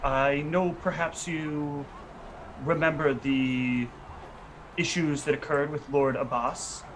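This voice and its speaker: A man playing in a tabletop roleplaying game, improvising in a deliberate, businesslike way. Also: a little high, steady tone, noisy background.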